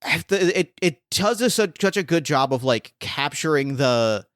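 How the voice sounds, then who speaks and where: Smooth-voiced man, rambling host. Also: fairly high pitch, lively intonation, normal speed, a bit bright, no room sound, studio quality. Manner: rambling, gropes for words with many restarts. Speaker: game master and podcast host. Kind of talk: casual podcast chat.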